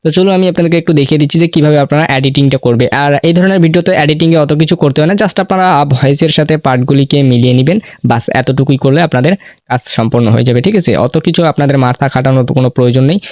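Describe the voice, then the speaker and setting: A man's voice: fairly high pitch, fast talker, a little mellow, dry recording, studio quality. A man presenting an informal step-by-step screen tutorial, often checking that listeners follow along. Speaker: tutorial host.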